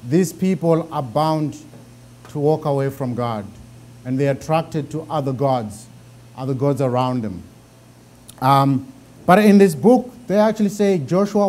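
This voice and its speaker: A middle-aged man with a deep Zimbabwean accent teaching a Bible class in a conversational way, often repeating words as he thinks. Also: mellow.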